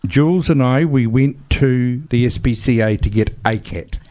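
A man's voice: mid-range voice, moderate pace, warm tone. Older New man telling anecdotes. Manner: chatty, anecdotal, chuckles through stories. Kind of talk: casual chat.

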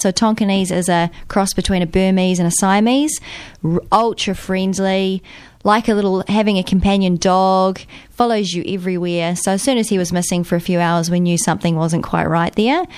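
Nasal New woman, smooth dry voice. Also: mid-range voice, fairly even intonation, a little fast, a little mellow, no room sound. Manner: earnest, appealing for help, light laughs. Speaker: guest. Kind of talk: casual chat.

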